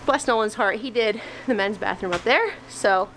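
A woman's voice: medium pitch, noisy background. A woman in her thirties vlogging as she cleans a small church, talking candidly and a little hurriedly into a handheld camera. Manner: chatty, candid, a bit hurried. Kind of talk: casual vlog narration while cleaning. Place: small country church, indoors and on the porch. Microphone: handheld camera at arm's length.

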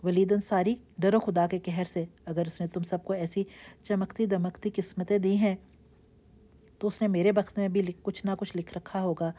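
A woman's voice: fairly low pitch, steady tone, dark tone, dull audio, close to an everyday voice. A woman voicing a heartbroken, defiant young woman who lashes out in passionate, reproachful outbursts. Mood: bitter anger.

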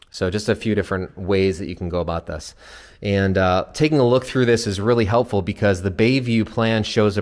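A man with a fairly low voice narrates a house tour off the cuff. He explains features informally, like a builder's representative showing a client around. His voice carries long reverb from the empty interior. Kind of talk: casual unscripted walkthrough narration. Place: empty new house, indoors.

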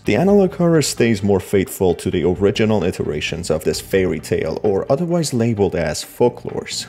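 A man's voice: sits low, dark tone. A man narrating a scripted explainer of a horror story, with wry asides.

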